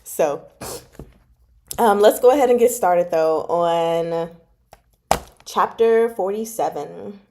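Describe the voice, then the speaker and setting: Mellow-voiced woman chatting casually. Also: lowish voice, takes it slowly, long pauses, slight background noise. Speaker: host of a book club reading stream. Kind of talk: casual livestream chat about a novel.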